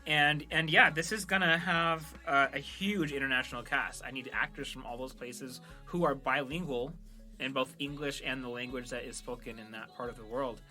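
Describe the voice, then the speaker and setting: A male podcast host with a strikingly bright, forward voice. He talks casually and earnestly, full of enthusiasm for what he is presenting. Music plays softly behind him. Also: medium pitch, fairly even intonation, normal speed. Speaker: podcast host and audio drama creator. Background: background music.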